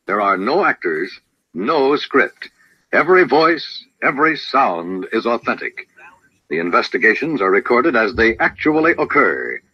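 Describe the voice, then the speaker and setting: A dull, dry vintage radio recording of an American man announcing a program. His husky voice swings widely in pitch as he declaims each phrase with dramatic emphasis. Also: no echo.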